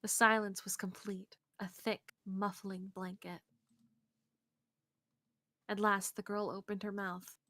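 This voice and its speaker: Female co-host for a visual novel stream, reading narration expressively, medium pitch, dry room. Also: flat delivery, slow pace, long pauses, pushed and bright, no echo. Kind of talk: scripted narration read aloud on a game stream. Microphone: close streaming mic, dry sound.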